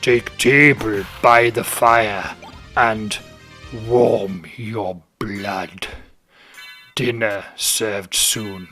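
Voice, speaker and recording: A man voicing a towering, ominous elderly castle manservant with slow, halting broken phrasing. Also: medium pitch, fairly even intonation, pushed and bright, faint music behind.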